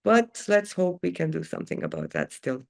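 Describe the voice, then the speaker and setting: A woman's voice: deep voice, huge pitch swings, normal speed, gravelly. A woman on a talk show panel, offering earnest political commentary. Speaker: panel guest.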